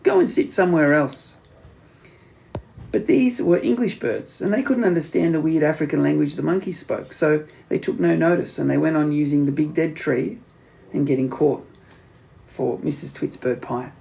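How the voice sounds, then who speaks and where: A man reading a children's story aloud as an expressive scripted narration. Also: fairly high pitch, normal speed, gravelly. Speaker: audiobook narrator.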